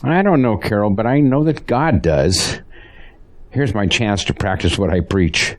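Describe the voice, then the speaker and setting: An actor playing a devout, steadfast pastor in an audio drama, speaking in an earnest, resolute way. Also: male voice, fairly low pitch, lively intonation, a little slow. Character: devout, steadfast male pastor facing persecution, married.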